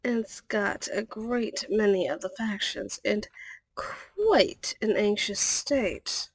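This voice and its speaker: A woman's voice: mid-range voice, lively intonation, slow, husky, no echo, exaggerated voice, low-quality recording. A woman playing a friendly, polite catgirl secretary in an ASMR roleplay, chatty, ending sentences with a little meowing tic. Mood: polite, reassuring.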